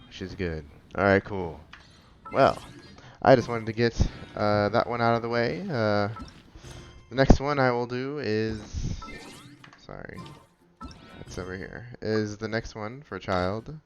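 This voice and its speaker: A woman's voice: very deep voice, takes it slowly, pauses often. Woman gamer, casual commentary, dry reactions, leisurely pace, slightly muffled recording, faint game music. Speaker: gaming commentator. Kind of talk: casual let's play commentary.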